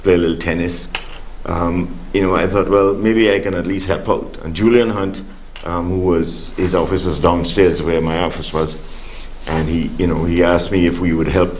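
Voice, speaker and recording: A man's voice: low-pitched, fairly even intonation, normal speed, darkish tone, slight background noise. An older Caribbean man born in Grenada, a studio guest telling his life story in an easygoing way with many fillers. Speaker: studio guest, sports official. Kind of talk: relaxed interview answers.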